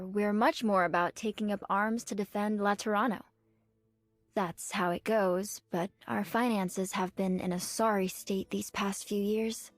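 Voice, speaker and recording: An earnest young nun archer from a video game, played by a woman, with a fairly high voice. She is dutiful and proud, and phrases things politely and formally. The recording is close and dry, with music playing behind her. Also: no echo, close to an everyday voice. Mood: earnest, courteous. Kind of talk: scripted game character voice lines.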